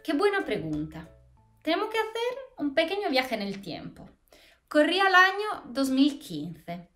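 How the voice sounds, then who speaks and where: Lively Italian-accented woman explaining science. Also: high-pitched, measured pace, soft background music. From Italy. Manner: expressive, lively, gestures while explaining. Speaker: postdoctoral astrophysics researcher. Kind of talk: explaining science to school students. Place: small plain indoor room against a wall. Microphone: camera a few feet away, head to waist framing.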